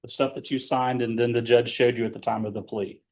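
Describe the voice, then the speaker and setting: Male courtroom lawyer, mid-pitched and fairly monotone, patiently spelling out each question as defense counsel. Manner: measured, explanatory, checks answers with leading questions. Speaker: defense attorney questioning his client.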